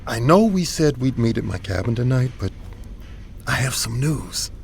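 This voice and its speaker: An actor playing a calm, earnest ship's captain in an audio drama, measured and gentle. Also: male voice, mid-range voice, gravelly. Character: calm, earnest male cruise ship captain. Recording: faint ambient noise.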